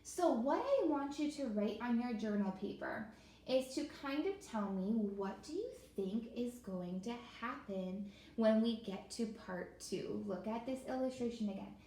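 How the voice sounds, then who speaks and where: A woman with a fairly high voice speaks as an elementary teacher guiding young children through a read-aloud. She is encouraging and often asks them questions directly. The room rings with noticeable echo, and there is slight background noise. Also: expressive, measured pace, warm tone. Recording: echoey room with faint noise.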